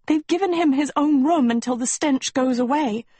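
A woman's voice: high voice, moderate pace, warm tone, no echo, pitched above the performer's own voice, lightly acted. A woman voicing a vain, dramatic teenage girl in a storybook, complaining theatrically and full of huffy self-pity. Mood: wounded, dramatic.

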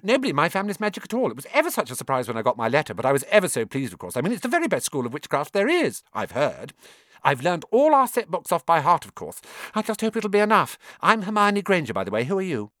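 A man voicing a bossy know-it-all schoolgirl of eleven who talks very fast. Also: high voice, wildly swooping pitch, dry recording, studio quality, voice pushed up. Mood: bossy, eager.

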